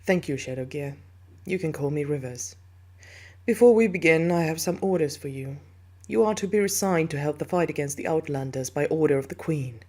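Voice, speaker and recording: Woman as commanding, low-toned alien negotiator. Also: mellow. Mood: composed, authoritative. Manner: formal, composed, deliberate. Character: commanding, calculating female alien hive negotiator. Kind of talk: scripted sci-fi audio roleplay.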